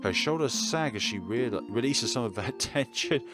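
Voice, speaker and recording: A man reading a visual novel aloud as expressive narration, adding his own casual commentary. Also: mid-range voice, moderate pace. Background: soft game music.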